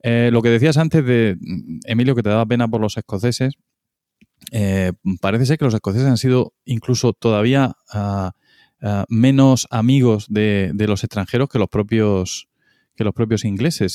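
Host, male, from Spain, lowish smooth voice, halting delivery, dry close mic. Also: mellow, no echo. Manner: hesitant, many fillers and restarts. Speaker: podcast host. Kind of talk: casual discussion.

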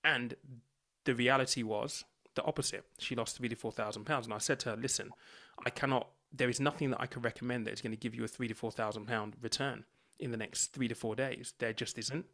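A man's voice: medium pitch, steady tone, fairly quick, pushed and bright. A man based in the UK, a financial coach and podcast guest, telling stories earnestly and acting out his own conversations. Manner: earnest, explanatory, acts out dialogue. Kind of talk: conversational storytelling.

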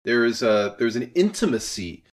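A man's voice: mid-range voice, very animated, unhurried. Earnest, articulate man in conversation. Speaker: audio drama writer and creator, interview guest. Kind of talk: thoughtful conversational interview.

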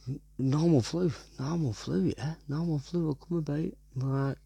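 Gravelly Wigan man ranting casually. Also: fairly high pitch, normal speed, warm tone, no echo. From North West England. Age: 30s. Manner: swears a lot and keeps tagging "right?". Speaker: podcast host. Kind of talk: casual monologue.

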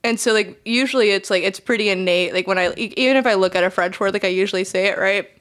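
A woman's voice: medium pitch, fast talker, a bit bright. Chatty young woman who swears a lot. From United States. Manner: chatty, laughing, swears freely, lots of "like". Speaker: guest. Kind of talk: casual podcast chat.

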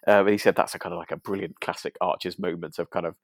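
A man's voice: low-pitched, steady tone, fast, dry recording, clean studio sound. A man co-hosting a podcast in casual chat, enthusiastic and often repeating words as he finds his phrasing. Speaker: podcast co-host.

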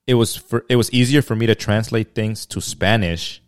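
A man with a low voice and a smooth, resonant tone hosts a laid-back podcast conversation. He is from Mexico but speaks English without an accent, and he talks in an easygoing, halting way, full of fillers and restarts. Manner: relaxed, hesitant, many fillers and restarts. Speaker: podcast host. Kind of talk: casual chat.

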